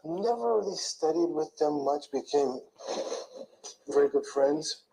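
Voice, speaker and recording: An older man slowly recalling his past in a soft, murmuring, rambling way, often trailing off. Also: on the high side, lively intonation, vocal fry, slight background noise, low-quality recording. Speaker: interviewee in played clip. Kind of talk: slow rambling recollection.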